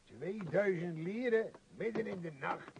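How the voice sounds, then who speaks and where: An actor in a radio play voicing a grumbling rustic coachman with a creaky, drawn-out, protesting delivery. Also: high voice, a little mellow, lo-fi sound, slight background noise.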